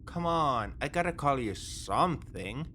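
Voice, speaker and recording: A man playing a begrudgingly soft-hearted space pirate captor in a sci-fi audio roleplay, speaking to the listener in an exasperated, dismissive, teasing way. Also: medium pitch, expressive, measured pace, a little hiss or hum. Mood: wry, practical. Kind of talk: sci-fi ASMR roleplay acting, addressing the listener.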